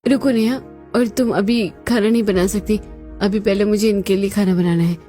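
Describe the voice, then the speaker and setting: A woman acts out a haughty young sister-in-law in a cartoon family drama. She scolds and gives orders, bossy and spiteful, at a medium pitch with music playing behind her. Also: fairly even intonation, moderate pace, warm tone, close to an everyday voice. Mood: harsh, commanding.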